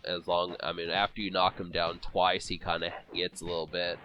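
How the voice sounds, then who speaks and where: A man's voice: deep voice, level tone, moderate pace, bright, forceful tone, slight background noise. A man with a cold, sniffling and congested, rambling through casual commentary on a boxing video game with many fillers and restarts. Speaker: let's play commentator.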